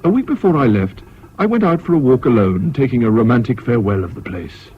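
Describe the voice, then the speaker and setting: An actor playing a reflective, educated man who narrates his memories in a measured Received Pronunciation for a radio drama. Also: mid-range voice, big pitch swings, natural voice. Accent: English Received Pronunciation. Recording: muffled, hissy old radio broadcast.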